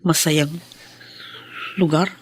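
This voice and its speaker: Earnest, loving Filipino wife. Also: female voice, low-pitched, steady tone, drawn-out words, slight background noise. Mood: gently concerned. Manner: earnest, tender, emotional. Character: steadfast, loving, hurt wife of a prisoner.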